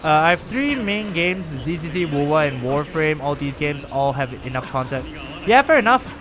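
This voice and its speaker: Lively, animated man streaming a game. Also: fairly high pitch, moderate pace, noisy background. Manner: chatty, animated, lively reactions while playing. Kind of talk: casual live-stream commentary.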